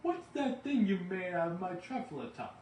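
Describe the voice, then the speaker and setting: Storybook creature, male reader acting: small, old, mossy and bossy, high strained voice, emphatic and indignant, recorded off-mic. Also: big pitch swings, normal speed. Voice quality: sharp, strained. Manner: bossy, emphatic, loudly declaiming. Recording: roomy, distant mic, faint background noise.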